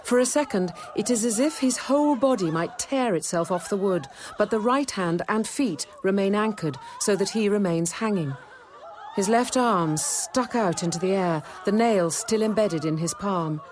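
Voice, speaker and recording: An actress playing a wry, sceptical nun who narrates a radio drama in a measured, intimate first-person manner. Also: female voice, medium pitch, slight background noise, close to an everyday voice. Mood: tense, hushed storytelling. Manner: measured, intimate, quietly dry.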